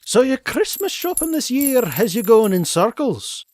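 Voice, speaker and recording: Cranky old cartoon miser duck: high voice, slow indignant delivery, dry sound. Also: male voice, very animated, darkish tone, no room sound, exaggerated voice. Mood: shrewd. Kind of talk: comic book fan dub.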